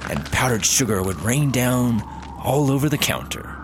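Excitable, eager young traveler, man playing, lively pitch, noisy plane-cabin background. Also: moderate pace, lightly acted. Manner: excitable, pitch jumps high when excited. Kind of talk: comedy audio drama acting.

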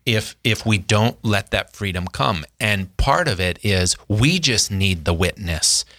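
Man in his forties, casual family chat, earnest with lively retellings; bright, forceful tone. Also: fairly low pitch, a little slow. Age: 40s. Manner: earnest, animated when telling stories. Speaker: brother in a family discussion. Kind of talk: casual reflective conversation.

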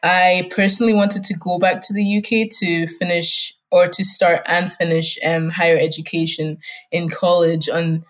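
A woman's voice: lowish voice, level tone, normal speed. A young woman whose first language is German, a student guest who grew up in Germany and Scotland, telling her story reflectively, with fillers. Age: young adult. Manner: reflective, with fillers and soft laughs. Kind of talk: personal storytelling in an interview.

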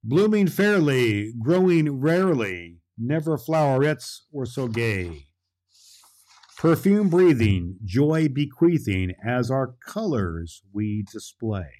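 Here is a jolly Santa Claus, played by a man, hosting a children's story podcast. The voice sits at a medium pitch. The delivery is kindly, folksy and inclined to ramble. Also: sing-song, slow, a little mellow. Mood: gentle storytelling. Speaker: host and storyteller.

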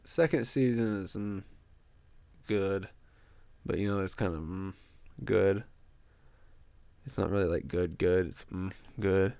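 A man's voice: low-pitched, slow, creaky, pauses often, dark tone, clean tone, no room sound. Congested, crude young man rambling on a podcast. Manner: crude, joking, goes off on tangents. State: congested, stuffy nose. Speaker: podcast host. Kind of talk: rambling solo podcast chat.

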